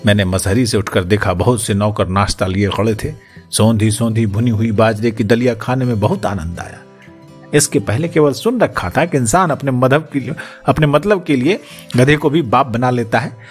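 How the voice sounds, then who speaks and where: A man reading an audiobook as its narrator, in an expressive scripted storytelling style. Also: mid-range voice.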